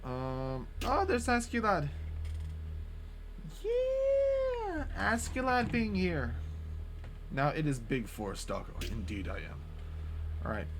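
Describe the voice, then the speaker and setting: A man rambles through a live stream, swearing freely and restarting his sentences. His voice sits a little high for a man. A faint hiss runs under a desk microphone. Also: huge pitch swings, slow pace, pauses often. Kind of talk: casual live-stream chat.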